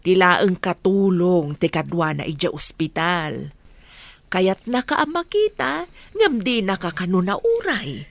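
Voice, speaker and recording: A lowish, expressive woman's voice from an actress in Ilocano radio drama, close and dry. She is a frank friend offering consolation. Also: unhurried, vocal fry, no echo. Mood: frank, consoling.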